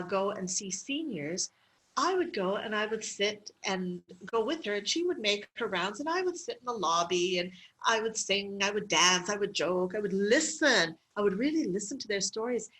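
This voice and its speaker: Middle-aged woman guest, a medical clown; lively, chatty anecdotes with laughter. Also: mid-range voice, normal speed, low-quality recording. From Canada. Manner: lively storytelling with frequent laughs and fillers. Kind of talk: interview conversation.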